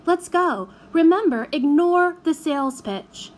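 A woman voicing a brisk, disapproving young girl. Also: very high-pitched, lively intonation, pitched above the performer's own voice. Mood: bossy.

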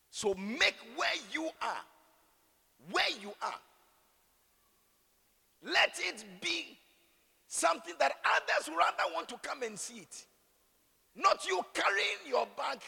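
Ghanaian man, preacher. Gravelly, fairly high voice, opinionated and rhetorical, repeating himself for emphasis. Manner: animated, rhetorical questions, repeats phrases for emphasis. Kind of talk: sermon with conversational, opinionated asides. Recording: echoey hall with long reverb.

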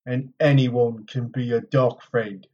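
Guy doing a hard cold warrior, stern n measured, fantasy audiobook, slightly dull sound. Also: medium pitch, fairly even intonation, darkish tone, recorded from a distance, close to an everyday voice. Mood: cold challenge. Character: grim, granite-hard warrior man, menacing.

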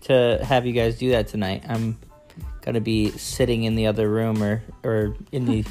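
Loud, resonant man hosting a podcast. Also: fairly low pitch, level tone, normal speed, soft background music. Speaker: podcast host. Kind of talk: casual chat. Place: living room at home. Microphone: phone.

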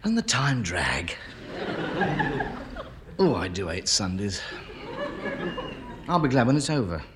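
A man's voice: lowish voice, sing-song, takes it slowly, a crowd in the background. An actor playing a bored, grumbling man stuck at home on a dull afternoon, in a 1950s radio sitcom. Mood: weary. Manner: moaning, weary complaining. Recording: hissy old radio broadcast recording.

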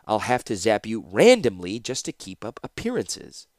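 A man voicing a cruel, breezily sardonic woman torturer in a fantasy audiobook, mocking and calmly menacing. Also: on the low side, normal speed, no room sound, clean studio sound. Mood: brisk, teasing.

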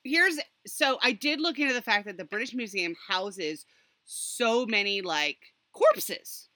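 A woman podcast host chatting casually and telling history stories in an animated, rambling way with sardonic asides. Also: on the high side, a little slow, no echo. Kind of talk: casual storytelling chat about history.

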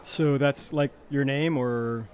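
A man's voice: medium pitch, fairly even intonation, takes it slowly, darkish tone, natural voice. A man playing an easygoing human tavern keeper in a comedic fantasy audio drama, talking in a casual, hesitant, incredulous way. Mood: friendly, bemused.

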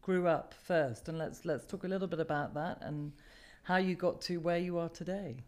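A woman's voice: on the low side, steady tone, moderate pace, darkish tone. A woman hosting an interview podcast, presenting and asking questions. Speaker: podcast host. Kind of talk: scripted podcast intro, interview.

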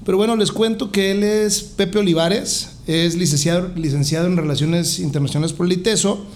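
A man's voice: sits high, steady tone, fairly quick. A man with a Mexican accent hosting a podcast in a casual, jovial, joking way. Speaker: podcast host. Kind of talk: casual interview chat.

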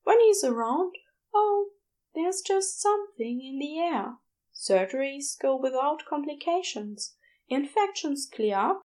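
A woman's voice: high-pitched, expressive, measured pace, a little mellow, voice pushed up, low-quality recording. A woman voicing a fond, gossipy nurse in an audiobook story, confiding with dramatic emphasis. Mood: fond, admiring. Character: fond, gossipy nurse praising a beloved colleague.

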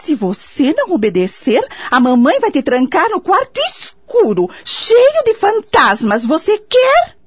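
High, swooping fussy neighbor lady played by an actress. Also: huge pitch swings, unhurried, full character voice. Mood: fussy, cooing scolding. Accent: Brazilian. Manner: fussy, cooing baby talk and scolding. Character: kindly older neighbor lady, doting mother to her dog. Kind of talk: radio drama acting.

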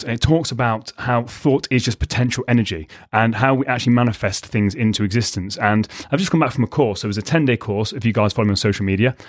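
A male podcast host and fat loss coach, talking solo with emphatic, repeated punchlines and a slightly croaky voice. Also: mid-range voice, no echo, studio quality. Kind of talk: solo motivational podcast monologue.

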